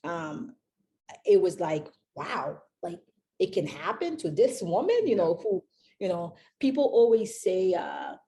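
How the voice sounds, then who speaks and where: A woman's voice: fairly low pitch, sing-song, measured pace, warm tone. A young woman from Haiti, a company founder, chatting as a podcast guest and rambling with many fillers and restarts. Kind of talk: casual interview chat.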